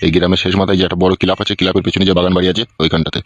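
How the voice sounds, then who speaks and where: A man's voice: low voice, flat delivery, racing, no room sound, dull audio, lightly acted. A man playing a sleazy, bossy village politician in a comic cartoon, speaking rustic slang. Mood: sly, lecherous.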